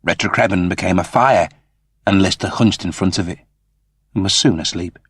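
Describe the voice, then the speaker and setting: A man reading scripted science fiction narration as an audiobook narrator, in a measured, dramatic manner. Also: low-pitched, a bit bright, husky, no echo, clean studio sound.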